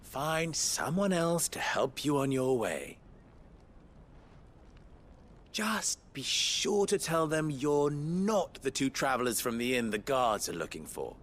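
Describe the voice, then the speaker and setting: An actor in a cinematic video game playing a young merchant boss who is sly, smug and condescending, with mocking, mannered rhetorical questions. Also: male voice, medium pitch, sing-song, measured pace, few pauses, a bit bright. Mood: veiled threat.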